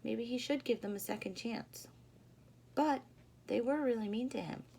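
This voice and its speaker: A woman doing an expressive read-aloud for young children, pausing to put questions to them in a teacherly way. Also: on the high side, vocal fry, breathy edge. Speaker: storyteller reading a picture book to children. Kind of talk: animated read-aloud with asides to young listeners.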